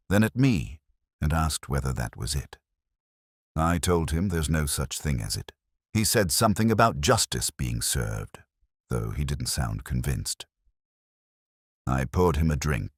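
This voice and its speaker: A man playing a melancholy, reflective police detective, narrating a literary mystery in the first person with a measured, brooding delivery. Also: extremely low pitch, no echo, studio quality, exaggerated voice. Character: melancholy, reflective male police detective telling his story.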